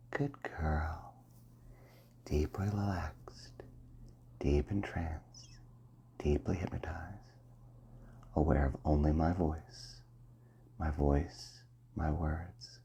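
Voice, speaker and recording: A man giving a slow, soft and commanding hypnosis roleplay in the ASMR style, often dropping to a half-whisper. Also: very deep voice, slight background noise.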